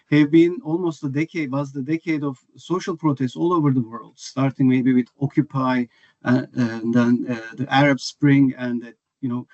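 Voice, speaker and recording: A slightly high-voiced man speaks as a scholar in an interview, working his thoughts out aloud with fillers and restarts. His voice comes over a muffled video call. The sound is dry and close. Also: a little mellow, dry recording. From Turkey. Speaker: author and scholar, guest. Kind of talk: academic interview answer.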